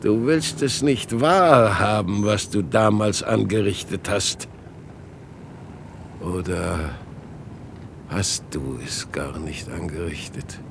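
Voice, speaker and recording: An actor playing an anguished, bitter father in a radio drama, his creaky voice questioning and accusing. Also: lowish voice, slow, long pauses, noisy background. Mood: haunted, accusing. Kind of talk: dramatic radio play acting.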